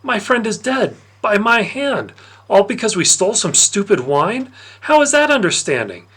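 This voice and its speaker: Man playing a high-pitched, agitated pleading boy. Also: sing-song, moderate pace, a bit bright, slightly rough, heavily acted. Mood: angry grief. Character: frightened, defiant boy pleading with a god.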